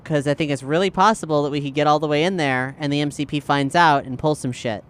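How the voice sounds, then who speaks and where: Male tabletop player, lightish pitch, clean resonant tone, casual strategic chat. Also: fast, fairly bright tone. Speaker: tabletop role-playing player. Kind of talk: casual game planning chat.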